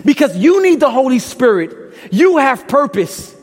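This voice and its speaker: Young man, Brooklyn accent, preacher, passionate sermon, very high voice, echoing large hall. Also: sing-song, a little slow. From Brooklyn, New York. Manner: impassioned, rhetorical questions, casual slang. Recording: long reverb in a large hall.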